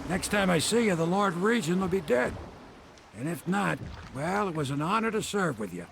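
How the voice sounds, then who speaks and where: A man playing a humble, wary boatman in a video game, with a gravelly voice and plain-spoken, folksy way of talking. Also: sits high, sing-song, moderate pace, background noise, heavily acted. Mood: earnest, grave. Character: humble, wary working-class boatman ally. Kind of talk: video game character dialogue.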